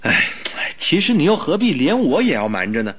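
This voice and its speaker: An actor playing an earnest, puzzled doctor and loyal friend in a Mandarin radio drama, with a questioning manner. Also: male voice, a little high, very animated, fairly quick, fairly bright tone, slightly rough, exaggerated voice. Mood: puzzled, reproachful. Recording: music behind the voice.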